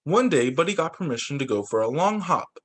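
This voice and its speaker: A man's voice: fairly high pitch, sing-song, fairly quick. A man reading a children's story aloud as a teacher, expressively, with exclamations and little asides of his own. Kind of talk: animated picture-book read-aloud with asides.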